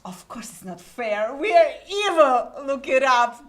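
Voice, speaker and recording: A high, very animated voice for a wisecracking demon who closes deals on souls , acted by a woman. The delivery takes its time, full of breezy salesmanship and theatrical mockery, as in a staged drama reading. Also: a little slow. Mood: slick, mocking. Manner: breezy show-business sales patter, mocking and theatrical. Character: smooth-talking, wisecracking demon sub-agent dealing in damned souls.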